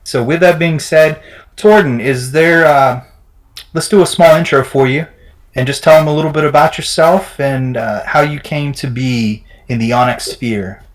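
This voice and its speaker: A man hosting a crypto game livestream, talking in an energetic, promotional way. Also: on the high side, normal speed. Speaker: livestream host.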